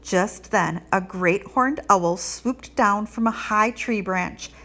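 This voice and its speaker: A woman reading a children's storybook aloud in an expressive teacherly narration. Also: medium pitch, slow pace.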